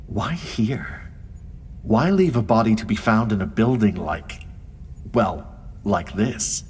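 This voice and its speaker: An actor playing a weary, wry crime scene investigator, dryly narrating a noir audio drama. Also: male voice, fairly low pitch, lively intonation, slow, darkish tone, slight background noise. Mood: brooding. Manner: world-weary, dry, sardonic.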